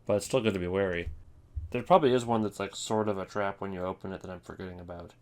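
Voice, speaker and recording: A man with a slightly low voice hosts a casual ranking video. He rambles wryly, thinking out loud as he goes. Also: steady tone, fast talker. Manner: rambling, thinking aloud, wry. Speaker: video host ranking game voice lines. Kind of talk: casual unscripted commentary.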